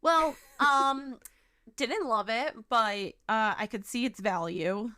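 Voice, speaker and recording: A woman's voice: slow, pushed and bright, clear, resonant tone, dry recording, studio quality. A young woman co-hosting a podcast, chatting casually and laughing often. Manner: chatty, giggly, talks about her high voice. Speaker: podcast co-host. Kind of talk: casual chat.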